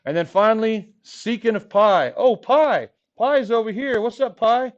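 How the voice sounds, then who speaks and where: A man in his forties teaching high school math to a live class, joking with the students as he goes. His voice sits high for a man and is a little hoarse. The classroom recording is dull and lo-fi. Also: moderate pace. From Texas Rio Grande Valley. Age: 40s. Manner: playful, jokey, talks to the class. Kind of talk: classroom lecture.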